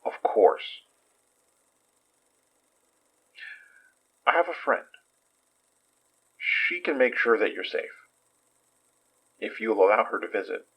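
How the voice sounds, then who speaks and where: Husky, guarded male protector. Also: fairly low pitch, expressive, dull audio. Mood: reassuring. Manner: measured, soft, reticent. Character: calm, guarded male protector reassuring a frightened victim. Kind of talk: audio horror drama acting.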